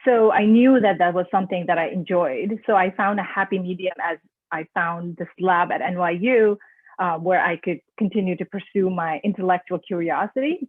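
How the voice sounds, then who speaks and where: Calm woman on a tinny call line. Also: on the low side, no echo. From Nepal. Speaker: guest mindfulness trainer. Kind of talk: conversational webinar interview. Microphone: video call. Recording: tinny dry phone-like line.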